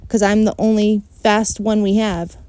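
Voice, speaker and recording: A woman's voice: medium pitch, flat delivery, normal speed, dark tone, clean tone, lightly acted. A woman voicing a boastful, matter-of-fact little kindergarten girl in a children's storybook. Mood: defensive boasting.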